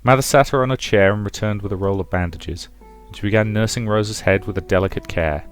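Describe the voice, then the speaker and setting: A man's voice: lowish voice, fast. A man hosting a let's play, narrating a visual novel as a dramatic story. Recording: music behind the voice.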